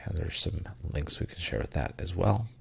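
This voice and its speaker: A man's voice: low-pitched, normal speed, vocal fry, whispered. A man hosting a casual tech news podcast, congested and tired, with plenty of ums and uhs and loose rambling asides. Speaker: podcast co-host.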